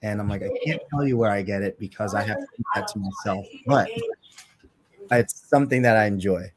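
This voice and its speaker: A man's voice: fairly low pitch, fairly quick, a loud crowd. A young man from Trinidad and Tobago, a podcast guest chatting in a friendly, upbeat way with many "like" fillers over a video call. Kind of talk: casual interview chat. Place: home room with plain walls.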